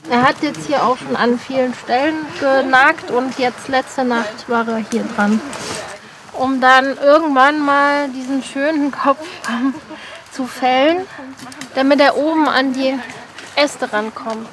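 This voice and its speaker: A woman explaining in a relaxed way on location outdoors. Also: high voice, takes it slowly. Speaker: interviewee.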